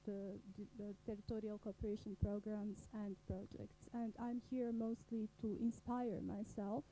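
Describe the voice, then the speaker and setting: Woman coordinator at a panel, mellow dark tone, hesitant with lots of fillers, faint hum behind. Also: mid-range voice, flat delivery, rough recording. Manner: many filler pauses, laughs at the end. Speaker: national program coordinator. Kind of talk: hesitant self-introduction at a forum.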